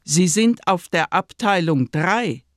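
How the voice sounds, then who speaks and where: A woman's voice: on the low side, lively intonation, slow pace, no room sound, studio quality. A woman playing a pious, cheerfully convinced, self-righteous middle-aged nursing sister in a radio drama. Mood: reproving. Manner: beaming, serenely self-righteous.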